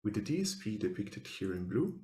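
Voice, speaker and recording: A man giving a scripted technical presentation as a researcher, speaking formally and in measured, step-by-step phrases. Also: fairly low pitch, very animated, mellow, echoey room.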